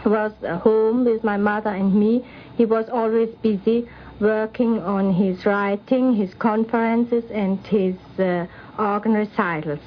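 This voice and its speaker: High-voiced middle-aged woman, old radio recording. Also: a little hiss or hum. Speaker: interviewee, daughter of the programme's subject. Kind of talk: prepared personal account for radio. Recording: dull 1950s radio recording.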